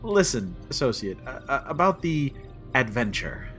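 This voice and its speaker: A man's voice: medium pitch, sing-song, normal speed, fairly bright tone, soft background music. A man playing a weary, reluctant but stubborn adventurer in an audio comedy, often hesitant and evasive. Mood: hesitant, sheepish.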